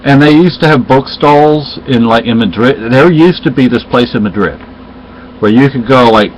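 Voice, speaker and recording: A man's voice: mid-range voice, a little hiss or hum. Rambling middle-aged man. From Spain. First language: Spanish. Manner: rambling, halting, with many restarts. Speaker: storyteller in casual conversation. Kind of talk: casual chat. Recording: dull lo-fi recording.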